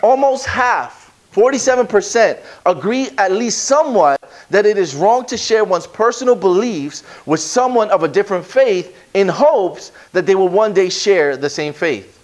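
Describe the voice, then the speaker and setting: A man in his thirties preaches from a small church stage into a handheld mic, his New coming through. His voice sits a little high for a man, and he talks with lively, conversational energy, restarting sentences as he goes. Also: normal speed, hardly any pauses. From Brooklyn, New York. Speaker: guest pastor. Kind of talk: sermon with prayer and scripture reading. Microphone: handheld microphone.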